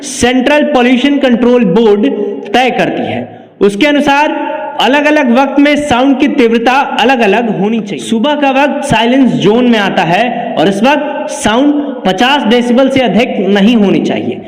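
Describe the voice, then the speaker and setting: A man's voice: very high voice, animated, normal speed. A man presenting a scripted news explainer, narrating and commenting to the viewer. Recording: echoey, reverberant room.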